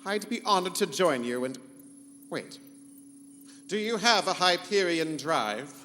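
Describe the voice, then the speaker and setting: A man's voice: sits high, animated, measured pace, sharp tone, faint music behind, exaggerated voice. Man acting as pompous rogue computer in echoey hall. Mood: smug intrigue. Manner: pompous, theatrical, formal wording. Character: pompous, scheming, morality-free rogue spaceship computer, male-voiced. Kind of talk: live comedic sci-fi radio play in 1940s serial style. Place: convention hall stage before live audience. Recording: echoey live hall, humming background noise.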